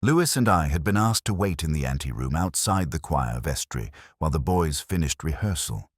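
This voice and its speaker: A man playing a brooding, erudite police detective, narrating his case as a measured, melancholy, literary first-person mystery story. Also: a bass voice, animated, husky, no room sound, clean studio sound, heavily acted. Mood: brooding, reflective.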